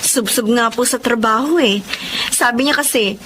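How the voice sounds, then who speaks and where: A woman's voice: on the high side, normal speed, noisy background, natural voice. An actress playing a worried, respectful young mother who struggles to make ends meet, in an audio drama. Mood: earnest. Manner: respectful, anxious. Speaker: young mother, Lucas's wife.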